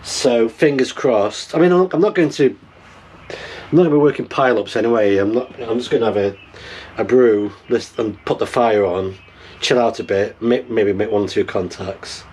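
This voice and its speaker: A middle-aged man chatting casually as he tinkers, halting and thinking aloud, recorded indoors on a camera mic. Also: fairly low pitch, darkish tone, slightly rough, low-quality recording. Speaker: amateur radio vlogger. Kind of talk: casual vlog chat while tinkering and unboxing. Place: small wooden cabin, indoors.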